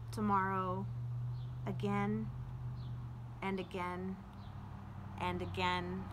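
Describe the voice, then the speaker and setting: A woman in her thirties or forties, a children's librarian introducing and reading poetry aloud with careful phrasing and pauses. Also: medium pitch. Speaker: children's librarian and story-time host. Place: outdoors on a front porch. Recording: outdoor porch ambience, light street noise.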